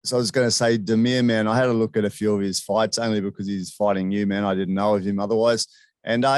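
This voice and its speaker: A man's voice: fairly low pitch, no echo. A man hosting a casual sports interview in a chummy, informal way. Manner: chummy, informal, often addressing guest as "man". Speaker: interviewer, show host.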